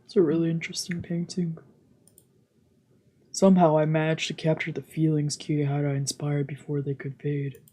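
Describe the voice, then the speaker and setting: A woman streamer with a soft-spoken, subdued manner, narrating a visual novel aloud during a let's play. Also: low-pitched, flat delivery, normal speed. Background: game music.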